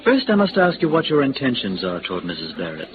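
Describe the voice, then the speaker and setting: An actor playing a snobbish, fussy, over-polite hotel manager in an old-time American radio drama. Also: male voice, mid-range voice, wildly swooping pitch, fast. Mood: guarded, prim. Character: prissy, snobbish, discreetly greedy male hotel manager. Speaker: actor in a radio detective serial. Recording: muffled, noisy vintage radio recording.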